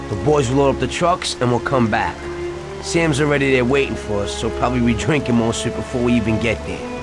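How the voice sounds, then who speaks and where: A man's voice: mid-range voice, natural voice. A man playing an easygoing, streetwise mob henchman in a video game, talking in a casual, slangy, ribbing way. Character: easygoing, teasing gangster henchman, streetwise and joking. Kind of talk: video game cutscene acting.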